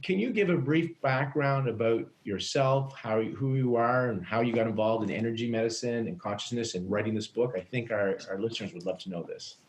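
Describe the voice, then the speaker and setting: A man hosting an interview, friendly and a little halting, with many fillers. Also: mid-range voice, poor audio. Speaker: podcast host.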